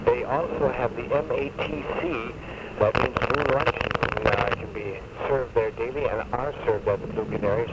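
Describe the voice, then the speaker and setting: A man working as a radio host, chatting and plugging a local business in a live interview. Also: mid-range voice. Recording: noisy muffled 1970s AM radio cassette recording.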